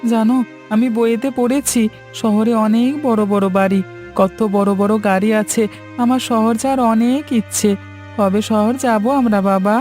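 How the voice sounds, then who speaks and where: A woman's voice: a little high, steady tone, moderate pace, darkish tone, soft background music, close to an everyday voice. A woman voicing an eager, innocent young schoolboy in a cartoon story, with a childlike, questioning manner.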